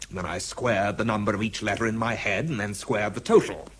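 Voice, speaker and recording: A man's voice: on the low side, brisk, a bit bright, a little hiss or hum, natural voice. An English actor playing a world-weary, bitter man who struggles with drink, narrating and speaking tersely in a radio drama. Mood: weary, tense. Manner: dry, terse, understated.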